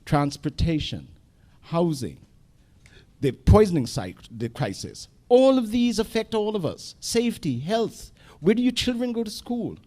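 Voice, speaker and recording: A man's voice: high voice, big pitch swings, measured pace, warm tone. A man giving a campaign speech at a community meeting in short, punchy phrases. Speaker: council candidate. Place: community hall.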